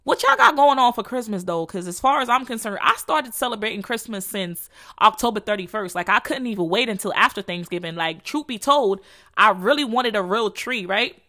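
Young Jamaican woman, solo podcast host, bright and forward voice, casual profane slang. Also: mid-range voice, animated, fairly quick. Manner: candid, profane, slangy and rambling. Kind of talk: casual solo chat to followers.

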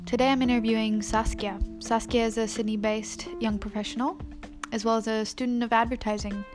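A woman hosting a podcast, giving an upbeat, clearly paced introduction to her guest. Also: on the high side, little pitch movement, a little fast. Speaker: podcast host. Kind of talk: scripted show intro. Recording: voice over background music.

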